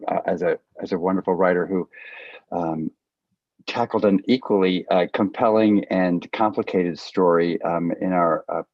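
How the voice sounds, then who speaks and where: Low-voiced male moderator in echoey room. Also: a little mellow. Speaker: panel moderator. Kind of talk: conversational panel moderating. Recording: echoey room, slightly dull sound.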